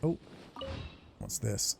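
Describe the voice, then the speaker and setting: A man's voice: very slow, background noise. A man hosting a game playthrough, reading on-screen text aloud with hesitant, muttered reactions. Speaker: gaming video host.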